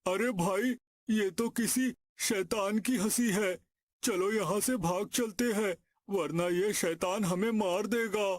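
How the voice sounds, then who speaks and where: A man playing a greedy, excitable village schemer in a cartoon story, speaking in exclamations. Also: sits high, slow pace, a little hiss or hum. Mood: frightened. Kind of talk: Hindi cartoon story acting. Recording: music behind the voice.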